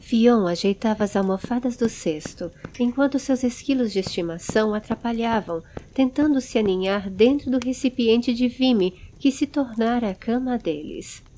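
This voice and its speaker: A Brazilian woman narrating a dramatized fantasy audiobook in an expressive, measured storytelling manner. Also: on the high side, a little hiss or hum, poor audio. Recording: music under the voice. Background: background music.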